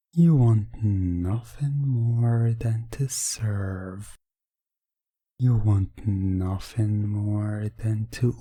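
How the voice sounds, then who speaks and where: A man narrating an ASMR hypnosis story in a hushed, deliberate, soothing, repetitive way. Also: medium pitch, husky, no room sound, studio quality.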